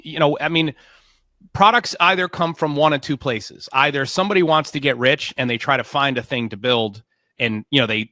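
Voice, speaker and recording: A man's voice: mid-range voice, bright, forceful tone, no room sound, studio quality. A man hosting a tech interview, talking emphatically and often restarting his words. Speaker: podcast host. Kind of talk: casual interview.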